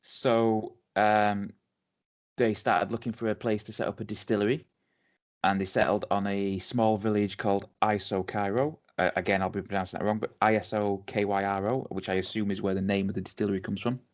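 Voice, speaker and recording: Chatty English man, low voice. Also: flat delivery, a little fast. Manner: chatty, rambling with many ums and restarts. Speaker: podcast co-host. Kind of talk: casual chat, telling a distillery's story. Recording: dull lo-fi audio.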